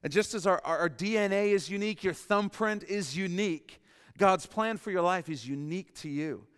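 A man preaching a sermon, emphatic, repeating key lines and dropping to a softer voice for emphasis. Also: high voice, normal speed, smooth. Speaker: pastor. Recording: long reverb in a large hall.

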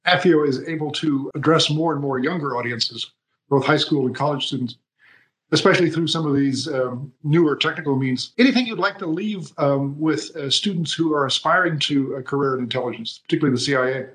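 A man hosts an interview. His voice sits a little high, and he speaks in a polite, measured way as he addresses his guest. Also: fairly bright tone, low-quality recording. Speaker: interview host.

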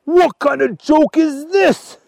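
A man's voice: fairly high pitch, lively intonation, measured pace, creaky, a little mellow, no echo, clean studio sound. A man playing an exasperated, squeamish father in a children's story, incredulous and emphatic. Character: exasperated, easily disgusted human father.

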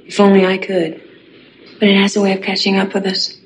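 Actress playing a resigned sad woman, flat delivery, noisy TV clip, clear background hiss. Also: medium pitch, fairly quick, close to an everyday voice. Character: woman gently refusing a lover. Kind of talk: 1980s TV drama acting.

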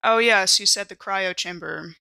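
A woman playing a questioning spaceship crew member in a tabletop game, speaking in a wry, measured way. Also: medium pitch, gravelly, sharp tone, clear, resonant tone. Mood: wry, probing.

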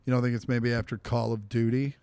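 A man's voice: medium pitch, level tone, fairly quick, mellow, clean tone, no echo. A man co-hosting a casual comedy podcast, full of crude, playful banter. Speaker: podcast co-host.

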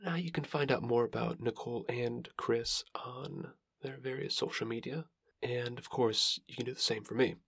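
A man hosting a podcast, introducing and interviewing guests with a creaky voice. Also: mid-range voice, moderate pace, fairly bright tone, dry recording, clean studio sound. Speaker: podcast host.

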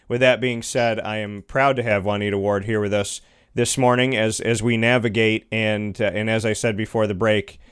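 A man's voice: on the low side, little pitch movement, moderate pace, clean tone. A man hosting an interview, rambling through his questions with many filler words. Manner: rambling, heavy on "you know" fillers. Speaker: interview host.

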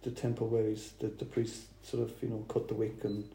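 A man, a church planter, talking over dinner in a thoughtful, halting way. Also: fairly low pitch, little pitch movement, mellow. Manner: halting, thoughtful, restarts phrases. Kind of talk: casual dinner table chat. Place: dining room. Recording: roomy with faint noise.

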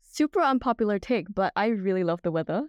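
A woman's voice: medium pitch, lively intonation, fast, darkish tone, dry recording. A woman in her twenties from Singapore, chatting casually as a guest on a podcast. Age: 20s. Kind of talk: casual interview chat.

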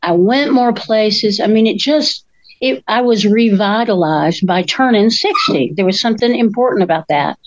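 A woman, co-hosting a casual chat in a slow, thoughtful way. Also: medium pitch, lo-fi sound, slight background noise. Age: 60s. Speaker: co-host.

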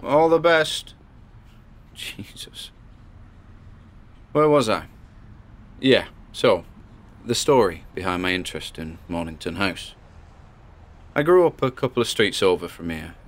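A man playing an earnest paranormal investigator, chatting reflectively and haltingly into his recorder in an audio drama. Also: deep voice, very animated. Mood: flustered. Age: 20s. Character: Miles Gordon, earnest 27-year-old male paranormal investigator vlogger. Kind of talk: found-tape audio diary.

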